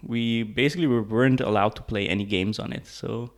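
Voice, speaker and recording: A man in his thirties from Hungary, a tech co-founder, talking as a guest in a halting way with many restarts. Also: medium pitch. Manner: halting, restarts, searching for words. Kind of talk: casual interview chat.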